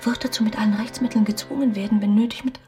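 An actress voicing a stern official notice in a radio drama, formal, whispery and breathy. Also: female voice, mid-range voice, fairly even intonation, fast, dark tone, far from the mic, faint music behind, close to an everyday voice. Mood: stern, ominous. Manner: formal, declaratory. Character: stern official court notice, read out in a hushed voice.

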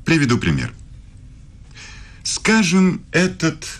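A commanding male philosophy lecturer, speaking very slowly with huge swoops in pitch. His delivery is didactic and ponderous, in radio-drama style. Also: wildly swooping pitch, exaggerated voice. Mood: ponderous, instructive. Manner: deliberate, didactic. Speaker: actor in a radio play.

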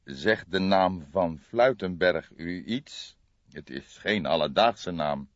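A probing, kindly police detective in an audiobook, acted by a male narrator, measured and insistent. The voice sits fairly low, with a close, dry and slightly dull sound. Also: expressive, no room sound.

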